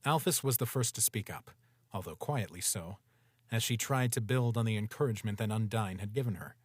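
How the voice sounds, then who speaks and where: A man reading a story aloud in a steady, even storytelling manner. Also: lowish voice, a little fast, dry recording, studio quality. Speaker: narrator. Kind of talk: scripted story narration.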